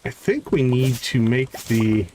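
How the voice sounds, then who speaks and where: A man's voice: medium pitch, expressive, a little slow, background noise. A man doing casual live commentary as he plays a game, chatting in a friendly way with viewers. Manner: friendly, greets viewers by name. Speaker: livestream host playing a farming game.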